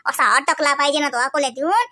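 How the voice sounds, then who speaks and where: A woman's voice: sits high, fast, sharp tone, dry recording, studio quality. A woman playing a playful, pouting young cartoon wife, whiny and theatrical, with a Varhadi Marathi accent. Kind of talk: Marathi comedy cartoon dialogue.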